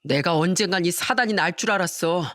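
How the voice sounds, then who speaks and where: A woman's voice: fairly low pitch, brisk, a bit bright, no echo, studio quality, close to an everyday voice. A woman voicing an outraged younger brother who sternly berates his wife in a family drama story. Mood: outraged, demanding. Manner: stern, demanding, indignant.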